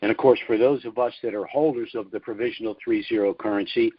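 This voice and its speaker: A man hosting a conference call, delivering an informal financial update in a measured, deliberate way with pauses between short phrases. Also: fairly low pitch, steady tone, husky, dry recording. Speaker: conference call host and speaker. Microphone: conference call line. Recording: dull lo-fi conference call audio.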